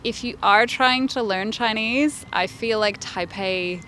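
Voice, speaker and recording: A young woman with a bright, clear tone answers a street interview. She chats easily, dropping in "like" as she goes. Traffic hums faintly behind her. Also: fairly high pitch, takes it slowly, low-quality recording. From Australia. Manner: chatty, uses like often. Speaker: art student, interviewee. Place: outdoors on a city street.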